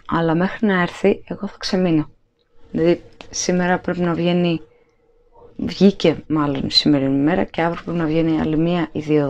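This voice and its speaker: A woman's voice: low voice, a little mellow, a little hiss or hum. Chatty woman rambling in her kitchen. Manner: chatty and rambling, with many fillers and restarts. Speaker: vlogger. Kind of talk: casual haul vlog chat. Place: home kitchen at night.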